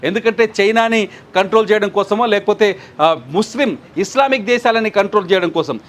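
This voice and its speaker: High-pitched, fast-talking man analysing news. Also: a bit bright, slight background noise. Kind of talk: live news analysis and commentary.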